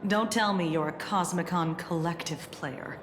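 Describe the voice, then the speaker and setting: Woman playing a low, composed official, echoing. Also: slight background noise, lightly acted. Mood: dryly weary. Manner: formal, measured, dry, sighing. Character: composed female government investigator, stern, dryly sardonic. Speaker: defense department official. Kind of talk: scripted video game voice acting. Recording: roomy reverb over music.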